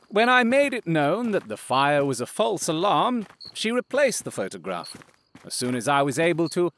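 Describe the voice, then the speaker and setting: A man's voice: fairly high pitch, a bit bright. An actor playing a confident, theatrical detective in an audio drama, precise and self-satisfied in how he speaks.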